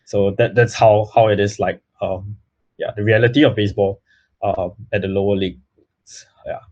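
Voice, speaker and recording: Young man, earnest, halting, many fillers, lowish pitch, dull lo-fi audio. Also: fairly even intonation, a little mellow. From Singapore. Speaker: guest, baseball player. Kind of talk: casual interview answers. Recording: slightly muffled lo-fi audio.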